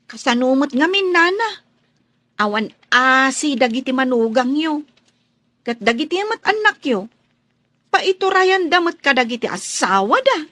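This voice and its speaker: A woman's voice: high voice, big pitch swings, unhurried, sharp tone, no echo, exaggerated voice. A woman playing a plaintive, emotional young housemaid in a radio drama, lovesick and weary of mistreatment. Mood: complaining.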